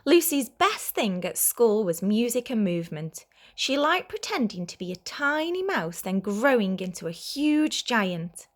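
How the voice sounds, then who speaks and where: A woman's voice: a little high, big pitch swings, unhurried, hardly any pauses. Woman reading a children's storybook. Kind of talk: children's storybook read-aloud.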